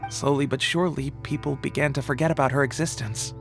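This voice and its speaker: A man's voice: medium pitch, steady tone, fast talker, creaky, fairly bright tone, faint music behind. A man playing an earnest, somewhat haughty scholar in a video game, explaining things in formal speech. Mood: grave explaining. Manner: expository, formal. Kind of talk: scripted game dialogue.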